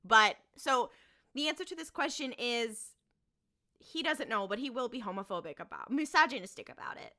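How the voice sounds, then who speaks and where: A young woman speaks as a panelist on a casual comedy podcast. Her voice is bright and forceful, sitting somewhat high. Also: lively intonation, normal speed.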